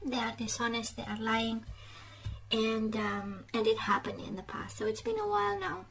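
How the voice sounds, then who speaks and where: A woman giving a casual tarot love reading straight to the viewer, halting and reflective, repeating phrases for emphasis. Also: a little high, vocal fry. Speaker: tarot reader.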